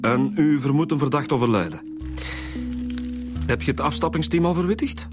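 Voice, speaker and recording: An actor with a Flemish accent playing a businesslike, busy police inspector in a radio drama. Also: male voice, on the high side, music in the background, lightly acted. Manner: brisk, businesslike. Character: busy, matter-of-fact male police inspector. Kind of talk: naturalistic radio drama dialogue.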